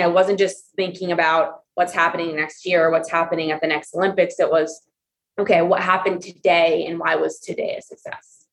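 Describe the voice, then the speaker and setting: A woman with a somewhat low voice answers questions as a guest. She speaks earnestly and reflectively, often pausing with "you know" and "I mean". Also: rough recording. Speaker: guest, competitive swimmer. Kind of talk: casual interview answers.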